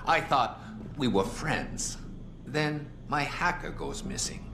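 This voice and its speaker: An actor playing a menacing, smug middle-aged crime boss in a video game, with slow, pointed delivery. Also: male voice, a little high, animated, gravelly, exaggerated voice. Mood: cold, mocking. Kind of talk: dramatic video game acting. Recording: echoey game audio under music.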